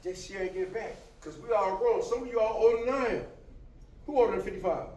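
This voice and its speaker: A man in his fifties giving a casual talk to a class, animated and often laughing as he jokes with the audience. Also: high-pitched, fast talker, far from the mic. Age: 50s. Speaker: motivational speaker. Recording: echoey room, from afar, faint noise.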